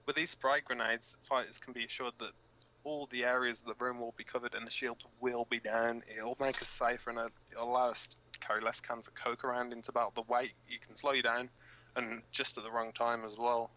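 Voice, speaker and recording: Guy acting as resistance fighter on pirate radio, bright sharp voice, halting and blunt, audio drama. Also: medium pitch, flat delivery, dull audio, slight background noise, natural voice. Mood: earnest explaining. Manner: halting, informal, blunt, cursing. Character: flustered, sweary young male resistance fighter reading radio bulletins. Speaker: radio co-host in a fiction drama.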